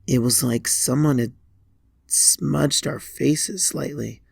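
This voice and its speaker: A man reading a scripted first-person horror story in a deliberate way, pausing between short phrases. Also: mid-range voice, fairly even intonation, creaky, slightly rough, no room sound, clean studio sound. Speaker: fan fiction narrator.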